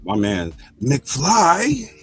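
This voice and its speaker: A man's voice: mid-range voice, wildly swooping pitch, extremely slow, breathy edge, faint music behind. A man hosting a casual group chat, telling loose stories and welcoming each guest by name. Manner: loose, storytelling, acts out quotes with raised voice. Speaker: podcast host.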